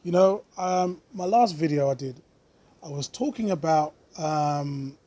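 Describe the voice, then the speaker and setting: Brief: male vlogger, slightly high and husky voice, earnest unscripted commentary. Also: dark tone. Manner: earnest, thoughtful, with frequent pauses and fillers.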